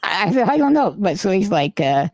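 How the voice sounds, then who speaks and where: Guy with a gravelly voice telling a long wild story, swears a lot, super dry sound. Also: high voice, fairly quick, no echo. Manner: rambling, animated, swears freely. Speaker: podcast co-host. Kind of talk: casual storytelling.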